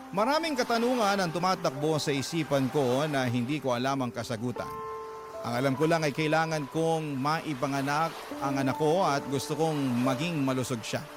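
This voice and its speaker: Guy narrating a sad letter for radio drama, voice a bit high, music playing behind. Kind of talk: emotional first-person scripted narration. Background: clear background music.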